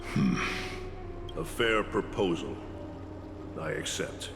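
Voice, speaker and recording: An actor playing a proud, bitter old warrior mentor in a fantasy game, speaking formally and gravely. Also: male voice, a bass voice, expressive, slow pace, heavily acted. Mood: guarded. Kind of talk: dramatic fantasy game acting. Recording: long hall reverb, loud-ish music behind. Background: music.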